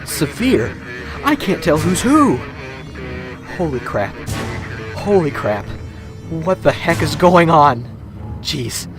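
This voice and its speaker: A man's voice: fairly high pitch, sing-song, slow, pauses often, music in the background. A man playing a panicky, agitated young rookie police officer in a radio drama. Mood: horrified. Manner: agitated, exclaiming.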